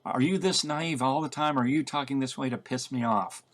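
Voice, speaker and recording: A man's voice: mid-range voice, a little fast, lightly acted. A blunt, tough-talking woman on the run, read by a man in a storybook reading. She is exasperated and sarcastic, snapping out her points in a mid-range male register.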